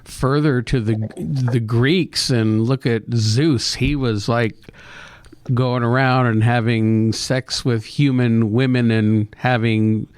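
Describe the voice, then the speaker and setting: A man's voice: mid-range voice, fairly even intonation, slow, a little mellow, smooth, dry recording. A man co-hosting a radio show in casual conversation.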